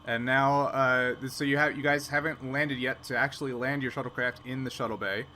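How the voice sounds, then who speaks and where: A man narrating an improvised sci-fi tabletop game as game master, conversational and pausing to find his words. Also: mid-range voice, steady tone, bright, forceful tone, slight background noise.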